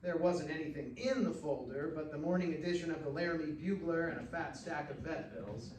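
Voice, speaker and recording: A man's voice: on the high side, brisk. An American man, a professional actor, narrating short fiction aloud at a live stage reading. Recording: roomy, distant stage recording, faint noise.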